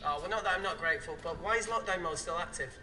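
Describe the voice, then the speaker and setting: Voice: medium pitch, quick pace, bright, forceful tone, distant mic, natural voice. An actor playing a flippant, sardonic scientist in a live-action game film. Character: sardonic scientist, sharp and flippant under pressure.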